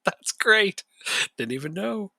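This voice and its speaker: A man's voice: sits high, expressive, takes it slowly, gravelly, sharp tone, no room sound. A man chatting casually on a live stream while playing a football management game, rambling and joking and chuckling often.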